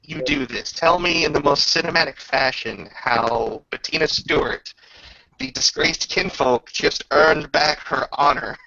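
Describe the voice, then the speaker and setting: A man's voice: fairly high pitch, measured pace, creaky, sharp tone, no echo, dull audio. A man casually running a tabletop roleplaying game as game master, giving rules calls and playing up the dramatic moments.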